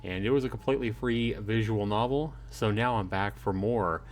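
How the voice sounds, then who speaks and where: A man's voice: lowish voice, moderate pace. A man hosting a casual livestream, chatting informally and in a friendly way, addressing viewers by name. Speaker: livestream host. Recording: clearly noisy home livestream.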